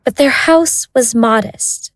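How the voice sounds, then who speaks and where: A woman's voice: on the high side, slow, no echo. A woman narrating a scripted audio drama as its storyteller.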